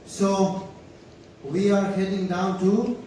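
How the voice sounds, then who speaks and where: A man's voice: high-pitched, steady tone, slow, dark tone. A man, a ship's captain, giving a chatty, joking speech to crew on stage, often asking "right?". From Croatia. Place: large theater hall on a cruise ship. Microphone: handheld, filmed from far in the audience. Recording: echoey hall from far off, noisy.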